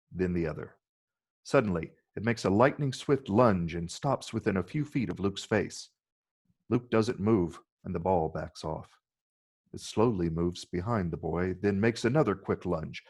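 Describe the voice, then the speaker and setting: A man's voice: deep voice, gravelly, a little mellow, breathy edge. A man narrating stage directions in a scripted reading.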